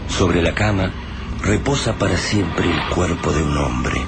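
A man giving grave, measured scripted narration for a radio drama. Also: deep voice, very noisy.

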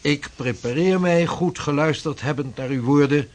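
An actor playing an earnest 16th-century navigator and mapmaker who talks in a measured, formal way. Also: male voice, on the high side, natural voice. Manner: measured, formal, old-fashioned speech. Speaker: seafaring cartographer. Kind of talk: radio drama acting.

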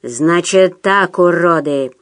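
Woman acting hoarse, brutal male ward tyrant. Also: lowish voice, takes it slowly. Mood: commanding. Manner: menacing, hissing and shouting commands. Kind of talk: audiobook character voice.

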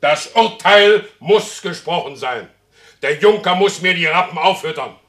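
An actor in a German radio play, playing a proud, stubborn horse dealer who demands justice in solemn, formal speech. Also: male voice, high-pitched, lively intonation, measured pace, a bit bright. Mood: aggrieved insistence.

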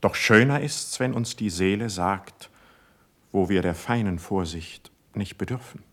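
Ardent young poet, slightly husky. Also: male voice, deep voice, measured pace, a bit bright, exaggerated voice. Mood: earnest, wounded. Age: young adult. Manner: declamatory, fervent, lofty. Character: idealistic, impulsive young male poet, ardent and sensitive. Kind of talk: classical German verse radio drama acting.

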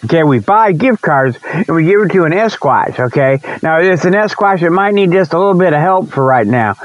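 High-voiced folksy man, rambling comic host. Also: expressive, brisk, no echo, highs cut off. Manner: folksy, repetitive, frequent okay and you know. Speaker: host of a comic report segment. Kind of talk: rambling comedic radio monologue.

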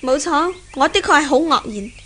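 Unyielding young woman in love, Cantonese radio drama, high-pitched, earnest, indignant, proud. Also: lively intonation, normal speed, bright, forceful tone, a little hiss or hum. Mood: proud, firm. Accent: Hong Kong Cantonese. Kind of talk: 1970s Hong Kong radio drama acting.

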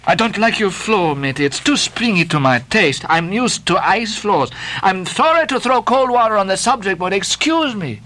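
A man's voice: sits high, animated, moderate pace, few pauses, sharp tone, pitched above the performer's own voice. A man voicing a fussy, polite male sailor who dryly complains about the dance floor. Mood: grumbling.

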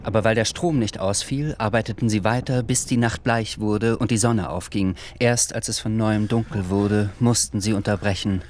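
A man narrating a radio drama in a calm, literary storytelling manner. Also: lowish voice, moderate pace, hardly any pauses, slight background noise. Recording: music underneath.

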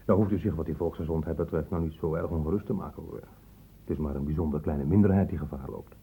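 An actor playing a cynical police inspector in a radio drama, blunt, sardonic and colloquial. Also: male voice, extremely low pitch, fast talker, gravelly, warm tone, breathy edge, exaggerated voice. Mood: blunt, stern. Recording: muffled phone-like old radio recording.

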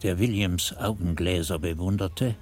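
An actor playing a reflective monk who narrates memories of his youth in a radio drama, measured and contemplative. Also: deep voice, a little mellow, slight background noise.

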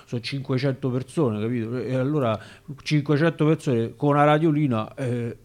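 A man's voice: mid-range voice, moderate pace, natural voice. A man playing a half-mad Roman street vagrant in a radio sketch, begging and rambling with stammered, repeated phrases. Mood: muddled, subdued. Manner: rambling, repetitive, stammering restarts.

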